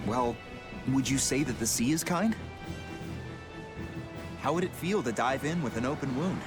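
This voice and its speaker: Man playing an animated, eager pirate crewman. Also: medium pitch, moderate pace, natural voice. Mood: cheeky, musing. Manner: colorful, informal, expressive. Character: eager, loyal young pirate crewman, cheeky and chatty. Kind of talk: video game voice acting. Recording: game music behind the voice.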